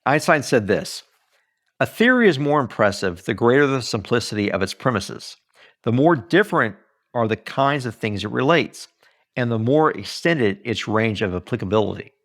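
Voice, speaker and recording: A man's voice: mid-range voice, big pitch swings, moderate pace, fairly bright tone. Male author-narrator, mid-range voice, very varied intonation, earnest preacher-like emphasis for a self-read audiobook. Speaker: author reading own book aloud. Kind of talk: expressive audiobook-style reading.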